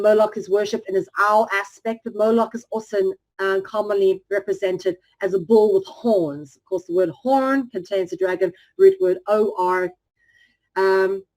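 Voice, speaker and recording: A woman presenting a lecture over slides, explaining earnestly and often pausing on "um". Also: medium pitch, a little mellow, poor audio. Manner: earnest, explanatory, frequent "um" fillers.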